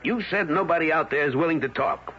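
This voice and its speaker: A man's voice: on the high side, brisk, a little hiss or hum, natural voice. An actor playing a skeptical newspaper man in a radio drama, brushing off claims with dismissive questions. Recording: dull lo-fi old radio broadcast.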